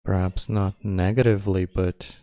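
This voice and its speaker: A man leading a guided meditation as its teacher, speaking calmly in spare, measured phrases with long pauses. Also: deep voice, no echo. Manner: calm, measured.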